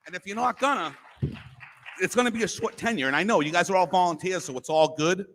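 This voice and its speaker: A man with a loud voice giving impassioned public comment at a school meeting, forceful and emphatic. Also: sits high, fast, slight background noise. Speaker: parent speaking at public comment.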